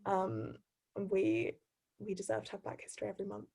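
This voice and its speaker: A woman's voice: mid-range voice, big pitch swings, brisk, gravelly, warm tone, slightly rough. Young woman, hesitant and laughing. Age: young adult. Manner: laughs often, hesitant with many "um"s. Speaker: interview guest. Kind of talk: casual interview over a video call.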